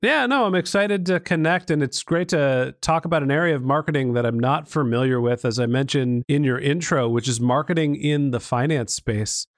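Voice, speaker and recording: A man's voice: mid-range voice, moderate pace, few pauses, dry recording, clean studio sound. A man hosting a podcast interview, asking curious questions and openly admitting he is a newcomer to the field. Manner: curious, openly self-deprecating about finance. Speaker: podcast host. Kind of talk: conversational interview.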